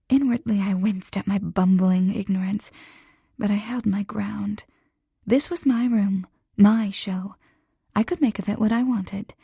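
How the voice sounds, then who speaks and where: A woman narrating a first-person romance audiobook in a soft, breathy whisper. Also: mid-range voice, no room sound, clean studio sound.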